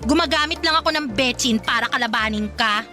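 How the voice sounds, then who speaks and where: A proud, combative young Filipino woman who runs an eatery in a radio drama. She talks sassily in a forceful, highish voice, with light music behind. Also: fairly quick. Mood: sassy, defiant. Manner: sassy, combative comebacks. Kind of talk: Tagalog radio drama acting.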